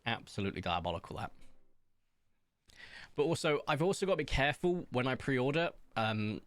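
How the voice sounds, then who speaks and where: A man streaming from home, chatting casually in a rambling, self-mocking way and pausing often. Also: medium pitch, sing-song, a bit bright, no echo. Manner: rambling, self-mocking, hesitant with many ums. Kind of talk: casual chat reading viewer comments while playing.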